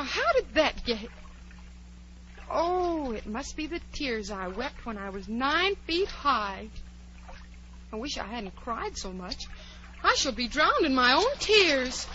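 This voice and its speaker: Dreamy little girl, high swooping voice, earnest, polite, easily flustered, old radio drama. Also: bright, forceful tone, full character voice. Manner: earnest, theatrical self-talk. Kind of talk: 1940s radio drama acting. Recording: dull, noisy 1940s radio recording.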